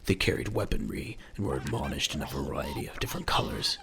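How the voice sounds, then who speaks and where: A man's voice: a bass voice, huge pitch swings, fast, fairly bright tone, a little hiss or hum. A man narrating a scripted audio drama in a dramatic storytelling style.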